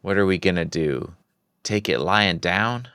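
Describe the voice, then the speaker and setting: A man's voice: deep voice, expressive, measured pace, pushed and bright, clean tone, dry recording, clean studio sound, exaggerated voice. A man voicing a blustering, tough gangster boss from an old comic book. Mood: indignant.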